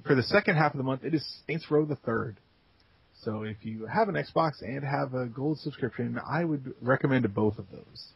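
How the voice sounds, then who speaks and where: A man's voice: mid-range voice, creaky, husky. A man co-hosting a casual gaming podcast, laid-back and hesitant with ums. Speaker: podcast co-host. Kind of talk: casual chat. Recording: dull lo-fi podcast audio.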